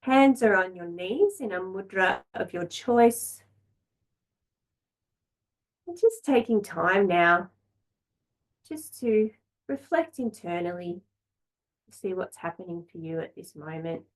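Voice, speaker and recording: A woman teaching a yoga class, giving calm, gentle relaxation guidance in short phrases with long pauses. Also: lowish voice.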